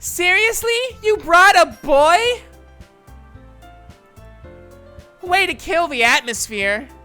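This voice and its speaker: A woman's voice: extremely high pitch, very animated, measured pace, sharp tone, soft background music, voice pushed up, heavily acted. A woman voicing a small, sour, short-tempered schoolgirl in a visual novel fan dub. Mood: annoyed.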